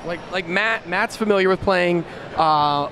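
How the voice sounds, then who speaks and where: A man giving casual, explanatory interview answers as a creator, with small halting pauses. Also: sits high, a bit bright. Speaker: radio play creator and director. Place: live convention event, microphone. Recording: clear background noise of convention.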